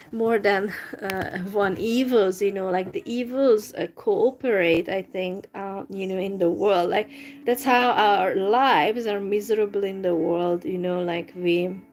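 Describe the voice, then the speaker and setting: A woman with a non-native English accent chatting on a live stream, hesitant and full of fillers, breaking into soft laughs. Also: medium pitch, unhurried. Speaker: livestream host. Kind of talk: casual live-stream chat, reading messages. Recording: noisy background.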